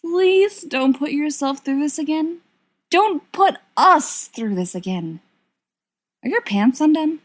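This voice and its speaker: A weary young woman from a storybook, voiced by a woman reader, wry and coaxing. She pleads with a lively, swooping intonation and unhurried timing. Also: high voice, voice pushed up. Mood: pleading. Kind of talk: storybook character reading.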